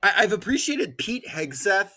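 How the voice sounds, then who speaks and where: A man's voice: sits high, sing-song, moderate pace, pushed and bright. Sardonic man, casual political commentary. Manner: sardonic, profane. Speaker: podcast co-host.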